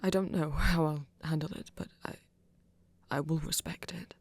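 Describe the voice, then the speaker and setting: A woman playing an elegant, flirty vampire in an intimate ASMR romance, speaking softly and deliberately with a breathy, husky voice. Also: sits low, fairly even intonation, dry recording. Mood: earnest, restrained. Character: flirty, elegant, patient female vampire in love.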